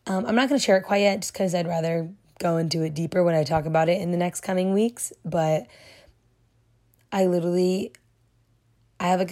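Young female podcast host, hoarse and low-pitched, warm rambling chat. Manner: chatty, affectionate, rambling. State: hoarse, losing her voice. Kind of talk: casual solo podcast talk.

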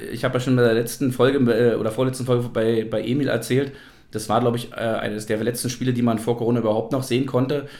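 A man hosts a relaxed football conversation in a roomy, echoing space. His voice sits at an average pitch. He rambles along as he reminisces. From East Germany. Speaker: podcast host. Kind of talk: casual football podcast conversation. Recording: roomy, echoey sound.

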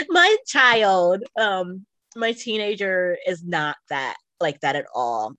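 A woman's voice: mid-range voice, big pitch swings, drawn-out words, pushed and bright, dry recording. A middle-aged woman co-hosting a podcast, chatting casually about her hobbies in an informal way. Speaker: podcast co-host. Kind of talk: casual chat about video games.

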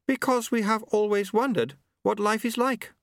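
A man's voice: sits high, fairly even intonation, normal speed, vocal fry, no room sound, clean studio sound, voice pushed up. A man voicing a prim, literal-minded aristocratic lady who talks in formal, precise phrases. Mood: earnest.

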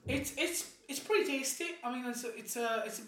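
A podcast co-host of unclear sex chatting casually over beer in a halting, repetitive, joking way. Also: high-pitched, a bit bright. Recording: echoey room, distant from microphone.

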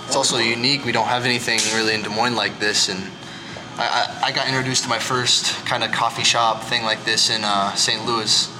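A man's voice: medium pitch, steady tone, a little fast. A young man chatting casually as a podcast guest in a bike shop, rambling with restarts. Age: young adult. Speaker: guest, collegiate cyclist. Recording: noisy shop background. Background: shop noise, people working on bikes.

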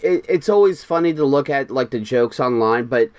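A man co-hosting a casual podcast, talking earnestly as a parent of a young son, with quick bursts and restarts. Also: medium pitch, animated, darkish tone. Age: young adult or middle-aged, parent of a six-year-old. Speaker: podcast co-host. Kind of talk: casual chat.

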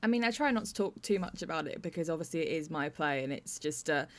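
British female podcast host and writer, lowish voice, conversational discussion style. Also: animated, fast.

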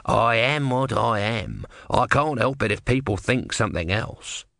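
A man's voice: medium pitch, lively intonation, measured pace, creaky, a bit bright, no room sound, clean studio sound. A man voicing a grumpy, sardonic god trapped in the body of a tortoise, snappish and irreverent in an audiobook. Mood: defensive.

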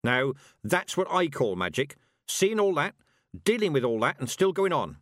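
A man's voice: fairly high pitch, big pitch swings, moderate pace, fairly bright tone, dry recording, studio quality, pitched above the performer's own voice. A man voicing a stern, blunt, proud old country witch woman with emphatic rustic speech, in audiobook style. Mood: earnest, impassioned. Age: older. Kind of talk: audiobook character acting.

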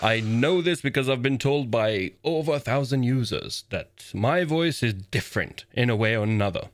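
A man co-hosting a community podcast, talking casually in a deep, commanding voice with a relaxed, playful way of cutting in. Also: moderate pace. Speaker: podcast co-host.

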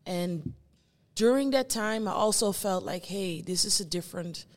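A young woman from the Netherlands, a guest performing artist, speaking reflectively and searching for her words with many pauses. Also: mid-range voice, big-room sound. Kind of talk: reflective conversation.

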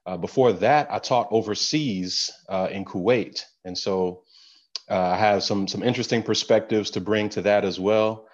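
Low-voiced teacher on a dull line. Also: male voice, normal speed. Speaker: guest teacher. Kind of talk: podcast guest interview. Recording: dull lo-fi sound.

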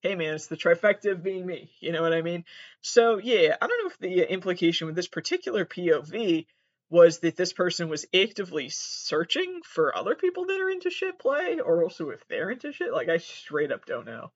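High-voiced North American man, lo-fi podcast. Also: big pitch swings, fairly quick. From Massachusetts, United States. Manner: self-deprecating, profane, digressive. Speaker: podcast host. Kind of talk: casual comedic solo rambling. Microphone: built-in laptop microphone. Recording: dull lo-fi laptop microphone.

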